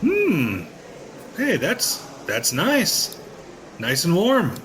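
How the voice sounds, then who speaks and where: A man acting in an audio play as an anxious, indecisive everyman customer with a halting, uncertain delivery. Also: high-pitched, huge pitch swings, a bit bright, heavily acted. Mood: pleased, wistful. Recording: clearly noisy background.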